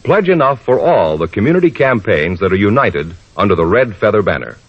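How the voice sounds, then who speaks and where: A man's voice: on the low side, big pitch swings, a little fast, very noisy. A man with an American accent announcing formally in 1950s radio style, on a muffled vintage recording.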